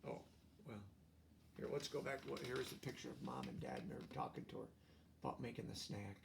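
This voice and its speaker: A man reading a storybook aloud to young children, expressive, with simple explanatory asides. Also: medium pitch, a little fast, creaky, a little hiss or hum. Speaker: storybook reader.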